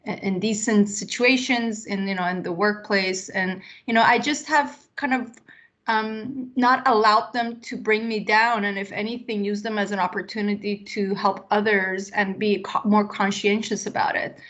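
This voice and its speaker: A woman's voice: medium pitch, fairly even intonation, normal speed, fairly bright tone. A woman in her late forties, originally from Iran, answering interview questions in a reflective, conversational way with frequent "you know" and "um". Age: 40s. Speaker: interview guest, tech executive.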